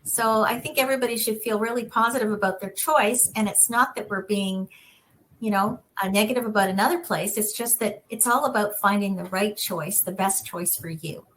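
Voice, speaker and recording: A woman's voice: medium pitch, moderate pace, a little hiss or hum, poor audio. A woman moderating a student panel over video call, encouraging and affirming. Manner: warm encouraging interviewer, frequent affirmations.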